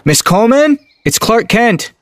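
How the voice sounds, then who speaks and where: A man's voice: very high-pitched, big pitch swings, a little slow, faint music behind, heavily acted. An actor playing a polite, earnest young small-town man in an audio drama. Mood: polite, concerned.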